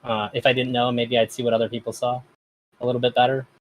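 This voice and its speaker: A man's voice: medium pitch, level tone, quick pace, low-quality recording. Host, a man with a voice that often reads as feminine, talking hesitantly in a middle register. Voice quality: voice that strangers hear as feminine. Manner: hesitant, with many fillers. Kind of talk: casual discussion.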